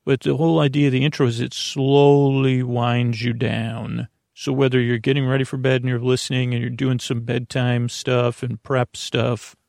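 Gravelly, meandering man, dryly recorded. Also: mid-range voice, flat delivery, a little mellow, dry recording, clean studio sound. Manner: meandering, halting, repeats and trails off. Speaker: podcast host. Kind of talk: rambling bedtime podcast monologue.

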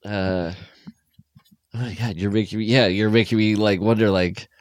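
A man with a fairly low voice chats casually as a film podcast co-host. His phrasing is hesitant, often trailing off before it picks up again. The sound is dry, with very little room on it. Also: steady tone, moderate pace, no room sound.

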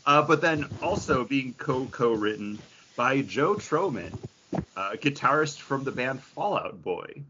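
A man's voice: mid-range voice, lively intonation, a little slow, dull audio, noisy background. A man co-hosting a comic book podcast in casual, conversational chat.

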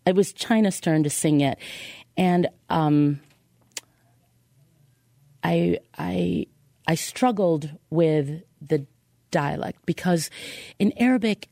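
A woman telling a personal story as a podcast guest, halting at times and repeating words for emphasis. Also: on the low side, creaky, warm tone, no room sound.

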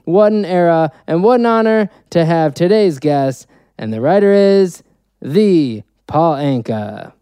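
A man's voice: a little high, animated, unhurried, dark tone, clear, resonant tone, no room sound. A man hosting an interview, introducing his guest with enthusiasm and asking questions casually. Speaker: interview host. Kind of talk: podcast interview.

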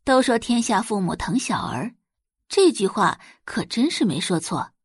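A woman's voice: fairly high pitch, big pitch swings, measured pace, slightly rough, no echo, clean studio sound, full character voice. A woman voicing a resentful, envious sister-in-law with a bitter manner in an audio drama. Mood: bitter resentment.

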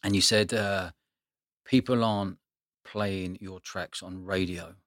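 A man's voice: sits low, fairly even intonation, slow pace, a bit bright, no echo, clean studio sound. A man hosting a casual interview, chatting in an informal, blokey way. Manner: informal, blokey, chimes in often. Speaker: podcast host, interviewer. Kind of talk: casual chat.

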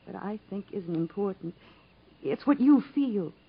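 Casting: devoted, earnest female sweetheart, 1950s radio drama; breathy husky voice, muffled vintage sound. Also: medium pitch, animated, measured pace. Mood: earnest, pleading. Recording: dull, muffled old radio recording.

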